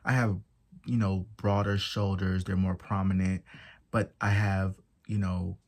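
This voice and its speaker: A soft-voiced man giving a measured explanatory talk as presenter, listing points with short pauses and fillers. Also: low-pitched, little pitch movement.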